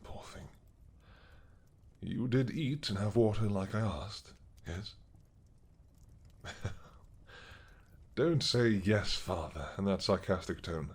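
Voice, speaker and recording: Doting old dragon king, played by a man; low and husky, possessive, gently teasing. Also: heavily acted. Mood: tender. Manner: slow, tender, teasing. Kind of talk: audio roleplay acting.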